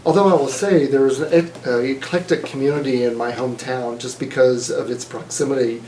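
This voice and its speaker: A man in his fifties from Mississippi, giving an oral history interview in a measured, thoughtful way with frequent fillers and restarts. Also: mid-range voice, fairly even intonation, a little mellow, roomy sound, a little hiss or hum, poor audio. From Mississippi, USA. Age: 50s.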